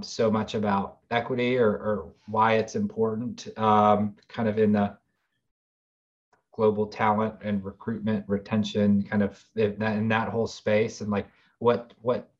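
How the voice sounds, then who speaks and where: Rambling American man hosting, muffled sound. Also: fairly low pitch. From United States. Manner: rambling, many fillers and restarts. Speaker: podcast host. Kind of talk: casual interview chat.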